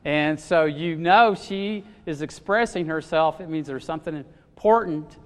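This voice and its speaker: A man preaching a sermon in a loud, expressive way, often pausing with fillers. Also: a little high. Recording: long hall reverb.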